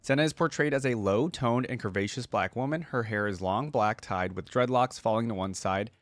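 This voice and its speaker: A man's voice: mid-range voice, a little fast, no echo. A man hosting a reaction video, speaking casually and with enthusiasm as he thinks aloud. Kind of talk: casual reaction commentary, partly reading aloud.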